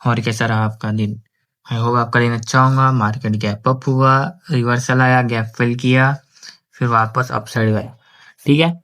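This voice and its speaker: A man's voice: mid-range voice, flat delivery, moderate pace, a bit bright. Man with a sore throat. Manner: conversational, repeats filler "theek hai". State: sore throat, speaking slowly. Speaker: stock market analyst. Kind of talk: casual trading analysis to viewers.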